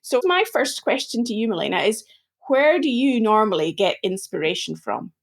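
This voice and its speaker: A woman hosting a podcast, introducing her guest and asking gentle, open questions. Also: fairly high pitch, expressive, normal speed, vocal fry. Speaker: podcast host. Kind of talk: interview hosting.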